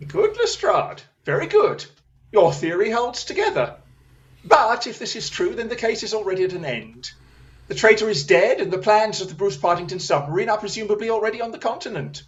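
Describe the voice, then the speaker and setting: A man acting a brilliant, brisk detective in a radio play, sardonic and intense, on slightly dull audio. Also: high-pitched, sing-song. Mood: dryly probing.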